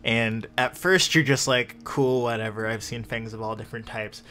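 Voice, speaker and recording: Bright, forceful man narrating as game master. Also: mid-range voice, fairly even intonation, moderate pace. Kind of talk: improvised narration of a tabletop roleplaying game. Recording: faint background noise.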